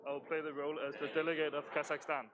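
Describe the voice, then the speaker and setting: A man's voice: fairly high pitch, level tone, fast, dull audio, very noisy. Enthusiastic man. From Denmark. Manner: friendly, enthusiastic. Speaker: conference delegate. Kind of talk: casual interview answers.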